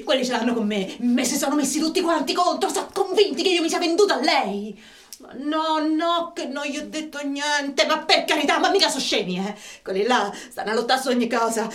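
A woman playing a blunt, streetwise Roman woman in a tragicomic radio play, with a colloquial delivery. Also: high voice. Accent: Roman Italian. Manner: brash, colloquial. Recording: roomy, slightly distant microphone.

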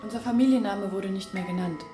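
Radio drama, sombre female voice of outcast family memory played by an actress, mid-pitched, measured, music very prominent. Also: close to an everyday voice. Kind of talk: literary radio drama narration.

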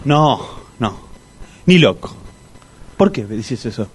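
A man's voice: mid-range voice, very animated, takes it slowly, slightly rough, heavily acted. An actor playing a stubborn, lonely man in his sixties with a Rioplatense Argentine accent, insistent and plaintive, in a comic radio drama. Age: 60s. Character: Latorca, stubborn, lonely older man eager to meet women.

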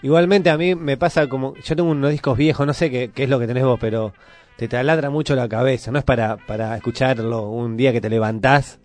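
A man with a Buenos Aires Argentine accent chatting casually on a radio panel, in a colloquial and halting manner. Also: medium pitch, fairly even intonation, a little mellow. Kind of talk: casual chat.

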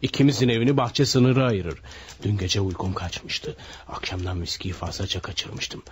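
A chatty nosy neighbor. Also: male voice, lowish voice, normal speed, husky. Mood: earnest. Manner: chatty, eager to tell. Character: nosy middle-aged male neighbor, talkative and earnest. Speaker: radio drama actor. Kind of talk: vintage radio drama acting.

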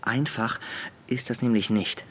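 An actor playing a gruff, grudging border smuggler in an audio drama, blunt and curt in his talk. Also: mid-range voice, measured pace, fairly bright tone, a little hiss or hum, close to an everyday voice. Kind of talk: German audio drama acting.

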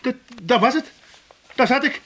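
An actor playing a hapless, forgetful son with an Amsterdam accent, stammering and hesitant, in a comic 1980s radio drama. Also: male voice, very high-pitched, expressive, moderate pace, noisy background. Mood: excited.

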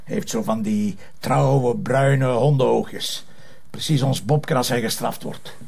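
Gravelly, grumpy Flemish husband. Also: male voice, mid-range voice, takes it slowly, darkish tone. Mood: jealous, sarcastic. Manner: sarcastic, grumbling. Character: grumpy, sulking husband, sarcastic and wounded. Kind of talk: naturalistic Flemish radio or TV drama acting.

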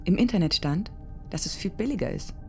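A woman's voice: fairly low pitch, animated, fairly quick, creaky, dark tone, faint music behind. An actress playing a terse, guarded woman in a naturalistic radio drama, speaking in short, laconic replies. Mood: wary. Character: terse, guarded woman buying an illegal gun.

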